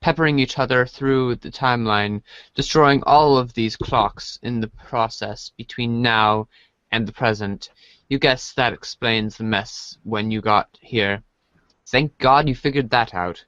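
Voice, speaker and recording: A man reading comic narration aloud for a podcast, halting and correcting himself as he goes. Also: mid-range voice, a bit bright, clear, resonant tone, no room sound, lo-fi sound. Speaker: reader in a webcomic reading podcast.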